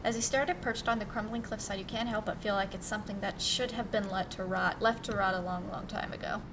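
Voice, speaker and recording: A woman streamer reading visual novel text aloud in an expressive, theatrical way and adding side comments. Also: mid-range voice, fast talker, distant mic, background noise. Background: music.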